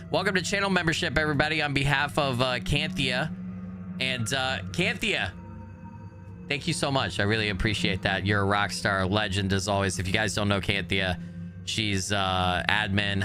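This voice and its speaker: A man chatting casually and informally as he livestreams a video game. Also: on the low side. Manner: informal, conversational. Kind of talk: casual live stream chat. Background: game music.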